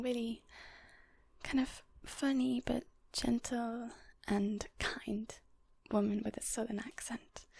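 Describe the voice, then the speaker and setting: A woman whispers casually to her viewers as an ASMR host. Her voice is extremely breathy and husky, with some crackle in it. She rambles gently and without hurry. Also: on the high side. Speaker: ASMR video creator and host. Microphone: portable handheld audio recorder. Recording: close whispered recording on portable recorder.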